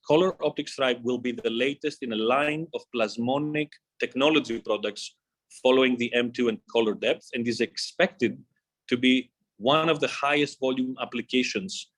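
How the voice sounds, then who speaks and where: A man with a medium-pitched voice gives a scripted corporate presentation in the role of a company executive. He reads formally and in measured fashion, setting out his material in short phrases. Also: a bit bright.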